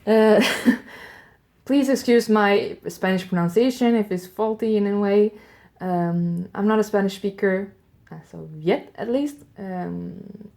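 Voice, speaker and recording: Brief mellow, gravelly woman with a Swedish accent. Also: medium pitch. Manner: chatty, musing aloud with curious asides to listeners. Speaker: host of an audio advent show. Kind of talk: improvised solo radio-style talk.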